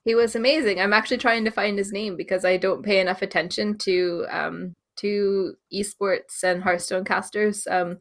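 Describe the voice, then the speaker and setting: A young woman co-hosts a casual podcast with a dry, wry delivery. She sounds stuffed up and nasal from being sick, at an average pitch. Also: steady tone, moderate pace, few pauses. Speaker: podcast co-host.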